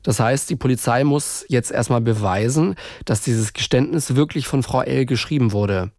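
A man hosting a true-crime podcast, narrating in a clear scripted way. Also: mid-range voice, normal speed, darkish tone, no room sound, clean studio sound. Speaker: podcast host.

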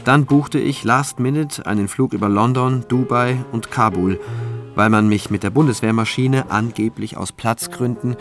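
A man's voice: medium pitch, moderate pace, over music, close to an everyday voice. A man playing a weary, dutiful state prosecutor, narrating soberly and introspectively in a radio drama. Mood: weary, matter-of-fact. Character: weary, dutiful male state prosecutor telling his story. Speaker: narrating lead actor. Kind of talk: first-person radio drama narration and dialogue.

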